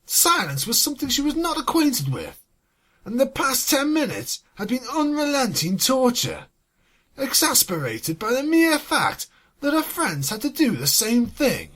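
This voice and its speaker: A story reader with a husky voice, sardonic and exasperated, swinging between narration and sweary asides. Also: high-pitched, fairly bright tone. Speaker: fan fiction reader and commentator. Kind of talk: scripted story reading with sarcastic asides.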